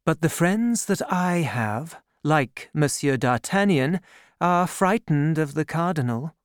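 A woman's voice: deep voice, lively intonation, slow, no echo, clean studio sound, pitched above the performer's own voice. A woman playing a sweet, scheming lady. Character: scheming charming woman, sweet-voiced and calculating.

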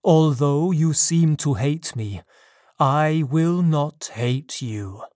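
A narrator voicing a lonely, wronged male monster in an audiobook story, pleading yet menacing, with slow, deliberate delivery. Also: mid-range voice, animated, darkish tone, dry recording, clean studio sound. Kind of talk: dramatised audiobook dialogue.

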